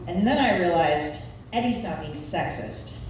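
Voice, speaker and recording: A woman in her forties from Nebraska with a Midwestern American accent performs live stand-up in a wry, self-deprecating, deadpan storytelling way. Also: lowish voice, unhurried. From Nebraska, United States. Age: 40s. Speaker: stand-up comedian. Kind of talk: live stand-up comedy routine. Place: live comedy venue. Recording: echoey room, distant mic, background noise.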